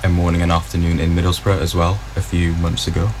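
A twenty-year-old man answering interview questions about his singing group in a calm, matter-of-fact way. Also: low voice, fast talker, background noise. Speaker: vocal group arranger.

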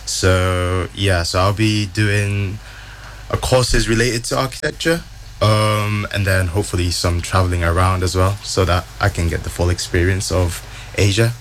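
A man's voice: deep voice, moderate pace, a little hiss or hum, rough recording. A twenty-year-old man, a university student, answering interview questions in a friendly, easygoing way with lots of ums and yeahs.

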